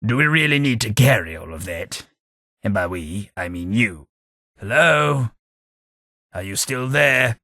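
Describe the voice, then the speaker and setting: A snooty old undead british noble, slow dry sarcastic drawl, bright edgy husky tone. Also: male voice, fairly low pitch, expressive, dry recording, full character voice. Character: sardonic undead British aristocrat ghoul, centuries old. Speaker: companion character. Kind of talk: game voice lines.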